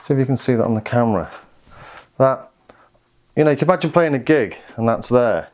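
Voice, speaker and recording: A man's voice: mid-range voice, expressive, a little fast, a little mellow. A man giving a casual, hesitant gear demonstration as a reviewer, thinking aloud as he goes. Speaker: synthesizer reviewer.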